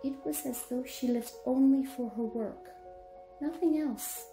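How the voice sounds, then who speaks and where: Woman acting gentle mother, highish voice, sorrowful radio drama narration, echoey sound. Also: a little slow. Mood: mournful, tender. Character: gentle, sorrowful, caring middle-aged mother. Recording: echoey, music underneath. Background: music.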